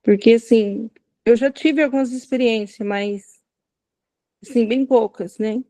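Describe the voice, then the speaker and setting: A woman's voice: a little high, fairly even intonation, a little slow, mellow, dry recording. A woman student asking her teacher questions tentatively, adding small tag words as she speaks.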